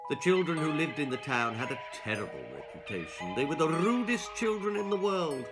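A man in his sixties reading a children's story aloud in an expressive, theatrical and emphatic way. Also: mid-range voice. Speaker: author reading his own children's stories. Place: small book-lined room indoors.

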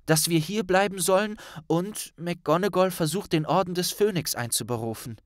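A man's voice: high-pitched, moderate pace, dry recording, studio quality, voice pushed up, close to an everyday voice. A man voicing an earnest, worried young witch in an audiobook, serious and urgent in manner. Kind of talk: audiobook character dialogue.

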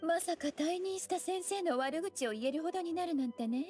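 A woman voicing a graceful, teasing young scholar mage in an anime game, with elegant, playful, unhurried phrasing. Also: very high-pitched, lively intonation, a little mellow. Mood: gentle, sincere. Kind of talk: Japanese anime game voice acting. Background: music.

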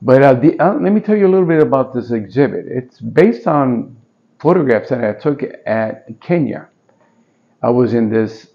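An older man with a Spanish-tinged New York accent talks through his paintings in a friendly, halting way. His voice has a gravelly fry to it. The sound is dull and lo-fi, picked up by a camera a few feet off in a small stone-walled gallery. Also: mid-range voice. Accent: Puerto Rican Spanish-tinged New York. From Puerto Rico. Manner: friendly, hesitant with fillers and restarts, gesturing. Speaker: artist. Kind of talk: casual gallery talk.

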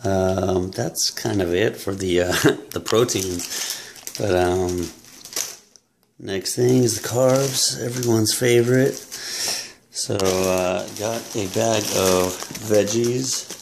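Young man, low gravelly voice, slow unhurried talk, casual vlog, close camera, small room. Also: noisy background. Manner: relaxed, halting, many ums and uhs. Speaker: fitness vlogger. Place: small room indoors, yellow walls. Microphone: camera close up at arm's length.

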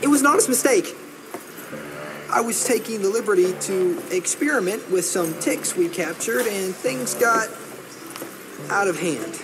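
High-voiced, sheepish agent. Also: male voice, animated, a little slow, long pauses, background noise. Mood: anxious, sheepish. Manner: sheepish, formal, hedging. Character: timid, apologetic male scientist agent reporting mishap. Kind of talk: video game cutscene acting.

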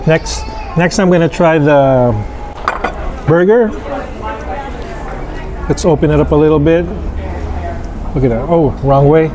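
A man in his thirties reviewing restaurant food in a casual, halting way, full of fillers, on an outdoor patio with a camera on the table. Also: on the high side, background noise. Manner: slow, relaxed, halting with many fillers. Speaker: food vlogger. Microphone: camera on table across from him.

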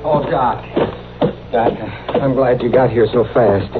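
An actor playing a worried, simple rustic husband in a radio western, in halting, plain country speech. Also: male voice, medium pitch, steady tone, close to an everyday voice. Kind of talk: old-time radio western acting. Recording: tinny, phone-like old radio recording.

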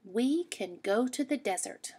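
A woman's voice: a little high, lively intonation, a little slow, darkish tone, breathy edge, exaggerated voice. A woman voicing a young boy rabbit in a read-aloud story, lightly lifting her voice for his eager ideas. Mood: bored.

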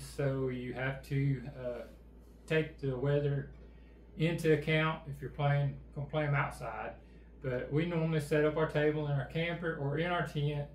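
Gravelly-voiced man, easygoing drawl. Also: mid-range voice. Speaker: co-host. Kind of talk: casual channel chat. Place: indoor room with game table and backdrop. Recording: echoey room, faint background noise.

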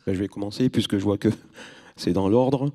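Low-voiced corporate man on a panel. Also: mellow. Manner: measured, corporate, many fillers. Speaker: industry representative on a panel. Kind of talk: panel discussion. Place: convention stage, large hall. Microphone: handheld mic.

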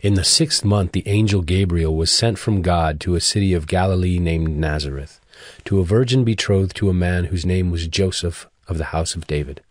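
Deep-voiced male scripture narrator. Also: no echo, studio quality. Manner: measured, solemn storytelling.

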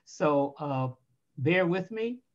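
An older woman with a slightly hoarse voice giving a book talk over a video call from a room at home, unhurried and full of thoughtful pauses. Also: sits low, expressive. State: hoarse, losing her voice. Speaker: book reviewer. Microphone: video call webcam. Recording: dull lo-fi video call audio.

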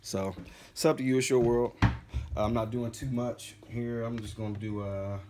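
A man's voice: mid-range voice, fairly even intonation, moderate pace, noisy background. A man in his forties making tea in his home kitchen, talking in a loose, rambling way to a phone camera, slightly congested. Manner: laid-back, rambling, says "man" and "bad boy" a lot. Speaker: home cook vlogger. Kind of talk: casual how-to vlog.

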